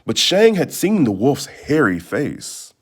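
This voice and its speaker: Slow, highly expressive male storybook reader. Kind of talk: expressive read-aloud narration.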